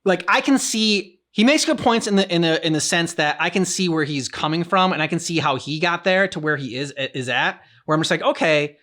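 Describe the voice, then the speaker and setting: Rambling man with a slightly high voice. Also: a little fast, few pauses, a bit bright. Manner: rambling, repeats and restarts phrases. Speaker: co-host of a video game reaction show. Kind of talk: casual discussion of a game's story.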